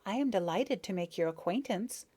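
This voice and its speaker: A woman's voice: medium pitch, lively intonation, normal speed, dark tone, breathy edge. A woman voicing a shy, eager young female bee who speaks with admiring politeness. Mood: shy delight.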